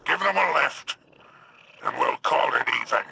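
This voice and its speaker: An actor voicing a surly, greedy male alien crime boss with a gravelly growl in a video game. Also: a little high, expressive, normal speed, heavy background noise. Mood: grudging, scheming. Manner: grudging, bargaining menace.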